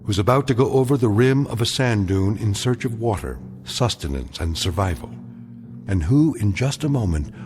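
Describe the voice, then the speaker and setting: A man giving solemn, measured scripted narration for a radio drama. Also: on the low side, expressive. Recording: music behind the narration.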